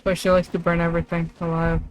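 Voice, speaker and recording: A woman's voice: lowish voice, monotone, fast. A woman livestreaming gameplay, chatting casually and thinking aloud in loose, rambling asides. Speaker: streamer.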